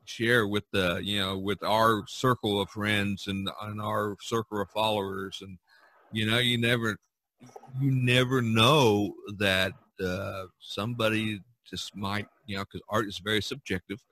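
A man hosting a casual podcast over a video call, talking in a rambling, animated way. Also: fairly low pitch, no room sound. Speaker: podcast host. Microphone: video call microphone.

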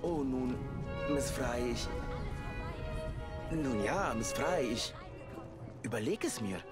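An actor playing a polite, earnest and reserved gentleman scholar in a video game, speaking hesitantly. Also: medium pitch, expressive, slow pace, loud music behind the voice. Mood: flustered, polite. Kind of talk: German video game dub acting.

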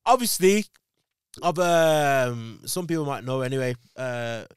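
Male guest, laid-back storyteller, smooth clear voice, dry studio mic. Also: mid-range voice, a little slow, dry recording. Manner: laid-back storytelling, animated when excited. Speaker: podcast guest. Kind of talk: casual chat. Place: podcast studio. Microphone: boom-arm studio mic.

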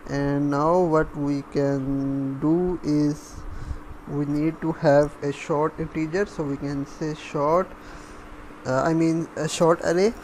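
A man giving a step-by-step coding tutorial, halting as he thinks aloud while typing. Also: a little high, a little mellow, poor audio. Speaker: programming tutorial instructor. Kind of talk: step-by-step coding screencast narration. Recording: faint background noise.

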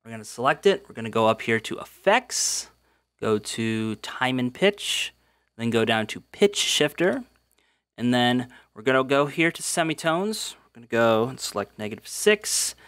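A man narrates a software tutorial in a casual, informal way. His voice sits at a medium pitch and is recorded close and dry, walking through things step by step. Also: sing-song, moderate pace, no echo. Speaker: tutorial host. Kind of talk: casual instructional voice-over.

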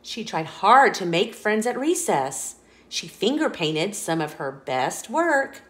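A woman reading a children's picture book aloud in a playful, expressive storytelling voice, indoors. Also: medium pitch, slow. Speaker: storybook reader. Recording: roomy echo.

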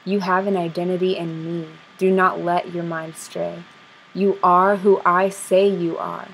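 A young woman speaks spoken word verse in a low, steady voice with little rise and fall. Her delivery is soft and intimate, the rhymes landing in a measured rhythm. She is close to a webcam in a small room, with a faint hiss behind her. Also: creaky, poor audio. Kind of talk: spoken word poetry recital. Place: small dim room indoors. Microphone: webcam at close range.

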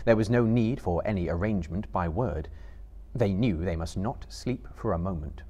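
A man in his thirties reading a novel aloud dramatically, with measured, suspenseful delivery. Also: deep voice, dark tone, husky. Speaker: storyteller. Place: small book-lined stage before a live audience, indoors.

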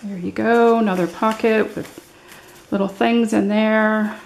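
Older woman with a creaky, slow voice. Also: mid-range voice, fairly even intonation, a little mellow, slight background noise, rough recording. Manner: halting, thinking aloud. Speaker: craft vlogger showing her handmade journals. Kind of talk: casual, unscripted show-and-tell. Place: indoors at a table, hands-only overhead camera.

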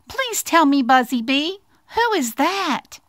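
A woman voicing a curious, wonder-struck little boy mouse in a storybook, squeaky and eager. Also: sing-song, slow pace, fairly bright tone, no room sound, clean studio sound, full character voice. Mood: eager, awed. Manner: eager, wide-eyed questioning. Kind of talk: storybook character voice.

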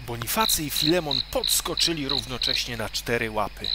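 Guy reading a kids story, really animated, loud noise n clicks behind him. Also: mid-range voice, normal speed. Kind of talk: scripted children's story narration.

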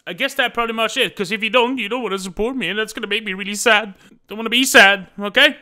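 A man's voice: high voice, fast, sharp tone. A young man, a gaming YouTuber, making a jokey, mock-boastful sponsor plug that rambles on. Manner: jokey, self-aggrandizing, rambling.

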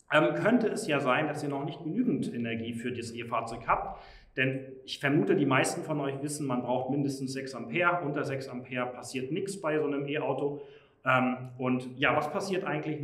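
A man's voice: on the high side, a bit bright. A young man giving a keynote product presentation on stage, explaining features casually with frequent filler sounds. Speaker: company presenter. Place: conference room on stage. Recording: echoey room.

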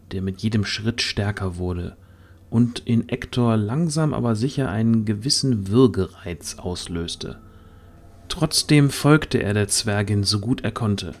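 A man narrating a fantasy audio drama as scripted storytelling, in a deliberate, suspenseful manner. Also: on the low side, expressive, darkish tone.

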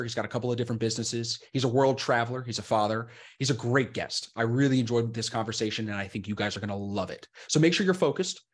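A man in his thirties hosting a casual podcast interview, upbeat and chatty, calling his guest bro, on a close studio mic at home. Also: mid-range voice. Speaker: podcast host. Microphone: close red studio mic, over-ear headphones.

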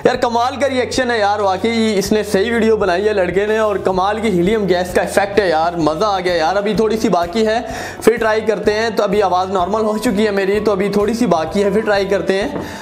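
A man's voice: high-pitched, steady tone, a little fast. A man in his twenties from Pakistan with a Pakistani accent, chatting casually and enthusiastically to camera as a video host, often repeating himself. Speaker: video host doing experiments. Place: indoors, plain-walled room. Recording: music in the background. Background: music.

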